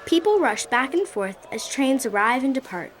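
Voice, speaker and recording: A woman's voice: sits high, very animated, normal speed, faint music behind. A woman narrating a radio play in a vivid, dramatic scripted style.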